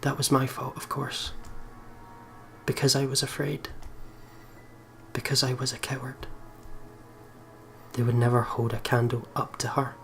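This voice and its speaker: A man talks through a video game in a casual let's play style, reading story text aloud and adding his own commentary. His pitch sits in a middle range, with some game music behind him. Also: little pitch movement, measured pace, gravelly, long pauses. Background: visual novel game music.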